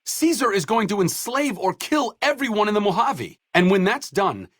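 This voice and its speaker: An actor playing a sardonic, principled doctor and researcher in a post-apocalyptic video game, speaking in a dry, articulate, wry way. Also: male voice, fairly high pitch, fairly bright tone, husky, no room sound.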